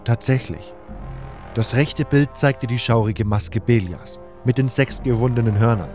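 A man's voice: mid-range voice, a little slow, a little mellow. A man narrating a fantasy audio drama in the first person, as scripted storytelling. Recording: hall-like reverb, music underneath.

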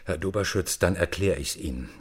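Spymaster role in a radio thriller: suave, calculating, smugly polite, with a deep-leaning, raspy voice. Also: male voice. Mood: persuasive, controlled. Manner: polite, measured, smugly formal. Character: suave, calculating East German spymaster, courteous and self-assured. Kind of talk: German radio crime drama dialogue.

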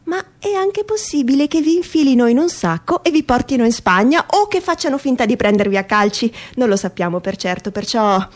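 A woman's voice: a little high, very animated, a little fast, few pauses, pitched above the performer's own voice. A woman voicing an earnest parent who matter-of-factly warns the children at bedtime in a theatrical story reading. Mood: earnest warning.

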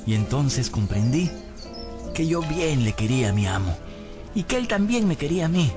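Radio drama: humble young servant lad, lighter high male voice, plaintive, earnest, over music. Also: sing-song, normal speed. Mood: rueful, narrating. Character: humble, hapless young servant boy telling his own misfortunes. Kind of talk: radio drama acting and scripted narration.

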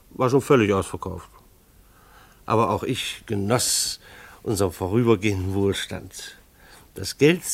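An actor playing a harried, ironic husband and father, narrating his family's chaos in a deliberate, formal radio drama style. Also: mid-range voice, no room sound, natural voice.

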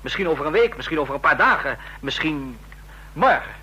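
A man's voice: fairly high pitch, expressive, fairly quick, pushed and bright, slightly rough, full character voice, poor audio. A man playing an ambitious, overconfident, scheming subordinate in a radio drama, eager and insistent. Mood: cocky. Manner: eager, insistent, self-assured. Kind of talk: Dutch radio play acting.

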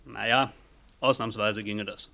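An actor playing a hurried, by-the-book official messenger in a 1950s German radio drama, clipped and bureaucratic. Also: male voice, on the low side, fairly even intonation, gravelly, bright, forceful tone, exaggerated voice. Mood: businesslike.

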